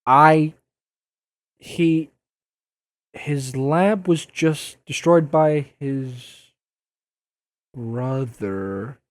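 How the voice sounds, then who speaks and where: An actor playing a young masked superhero in an audio drama, sarcastic, flustered and easily exasperated. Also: male voice, medium pitch, very slow, pauses often, no room sound. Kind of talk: audio drama dub acting.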